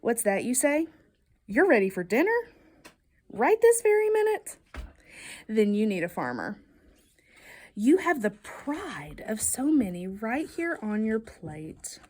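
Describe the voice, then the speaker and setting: High, animated woman reading a picture book. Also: slow, long pauses. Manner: expressive, emphatic storytelling delivery with playful questions. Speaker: storybook reader. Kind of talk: children's read-aloud of a picture book.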